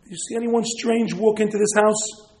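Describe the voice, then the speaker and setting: A man's voice: sits high, steady tone, moderate pace, a little mellow, close to an everyday voice. A man voicing a suspicious, bullying soldier in a told tale, asking blunt, demanding questions. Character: suspicious, bullying Russian soldier searching houses. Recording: echoey room.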